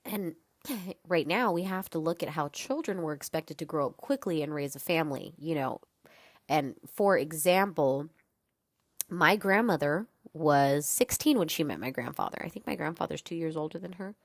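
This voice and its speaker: A woman's voice: low-pitched, lively intonation, moderate pace, no echo, studio quality. A young woman hosting a solo podcast, talking in a frank, chatty way with lots of fillers and some swearing, and sounding congested. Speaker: podcast host. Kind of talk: casual solo podcast talk.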